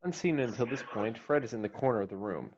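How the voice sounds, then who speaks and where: Male narrator for stage directions, scripted read, medium pitch, somewhat dull recording. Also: lively intonation, quick pace, darkish tone, a little hiss or hum. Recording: slightly dull online call audio.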